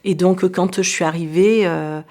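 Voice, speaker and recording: Low, flat-voiced French woman. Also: measured pace. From France. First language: Arabic. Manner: hesitant, many fillers and restarts. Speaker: local elected official, interview guest. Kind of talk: radio interview, recounting events.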